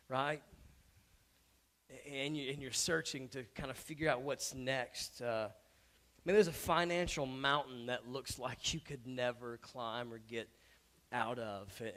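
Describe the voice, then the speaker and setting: A man in his thirties, a hoarse pastor, preaching conversationally on a church stage with many halting restarts that build to emphatic points. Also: a little high, a bit bright. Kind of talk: sermon. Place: church stage before an audience.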